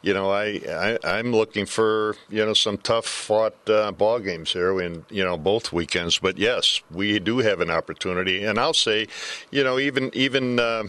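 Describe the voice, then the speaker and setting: Low, bright older American man, halting delivery. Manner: halting, repetitive phrasing. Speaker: former baseball coach, color commentator. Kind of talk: casual sports analysis.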